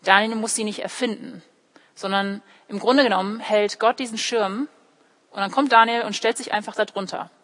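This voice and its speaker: A woman's voice: mid-range voice, fairly even intonation, normal speed, fairly bright tone. Young woman preaching in an echoing hall. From Germany, born in California and raised in Heidelberg. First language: English. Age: young adult. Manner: explanatory, addresses listeners directly, acts out short quotes. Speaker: theology student preaching at church. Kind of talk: sermon with personal illustrations. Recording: echoey hall with long reverb.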